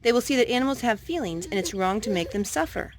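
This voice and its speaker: A woman's voice: on the high side, animated, fast talker, a little hiss or hum, close to an everyday voice. A woman voicing a kindly, earnest man who trains a horse, in a storybook reading. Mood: earnest, encouraging.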